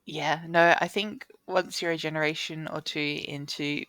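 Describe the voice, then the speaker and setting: A woman's voice: low voice, fairly even intonation, moderate pace, a bit bright, no echo. An Australian woman co-hosting a casual chat, telling anecdotes with informal, dry humour and acted-out quotes. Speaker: podcast co-host.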